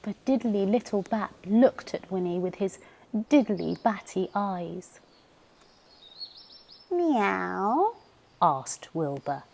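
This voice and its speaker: A woman narrating a children's storybook as a storyteller, in an expressive, deliberate way. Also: medium pitch, vocal fry, slight background noise. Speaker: professional storyteller.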